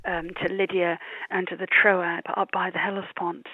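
A woman's voice: lowish voice, flat delivery, moderate pace. A woman professor giving an unscripted interview in tentative phrases over a narrow, phone-like connection. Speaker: history professor being interviewed. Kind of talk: spontaneous interview talk. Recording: thin phone-like band-limited line.

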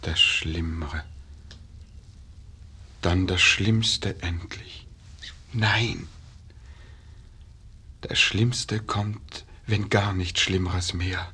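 An actor playing a grave, sorrowful young man in a 1950s radio verse drama, speaking with measured, melancholy weight. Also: sits low, fairly even intonation, pushed and bright, breathy edge, full character voice. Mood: bleak, resigned.

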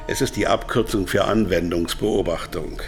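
A low-pitched, self-satisfied executive held captive played by a male actor in a radio drama. He explains things in a smug, businesslike way and is easily rattled. Faint music plays underneath. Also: steady tone, normal speed, natural voice. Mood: smug, explanatory. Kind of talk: German radio drama dialogue.

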